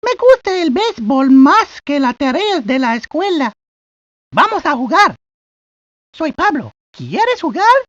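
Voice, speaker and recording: Tiny playful boy, extremely high voice, lively pitch, cartoon game character. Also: normal speed, dry recording. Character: friendly, playful little Spanish-speaking boy. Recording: dull lo-fi 1990s game audio.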